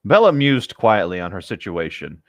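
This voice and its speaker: A man's voice: lowish voice, sing-song, normal speed, dry recording. A man reading a story aloud as co-host and breaking off for amused, casual asides. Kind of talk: story narration with casual commentary.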